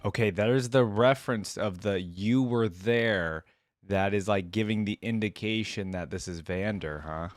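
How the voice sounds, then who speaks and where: Low-voiced man reacting casually. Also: measured pace, no echo, clean studio sound, soft background music. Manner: musing, conversational, speculating aloud. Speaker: reaction video host.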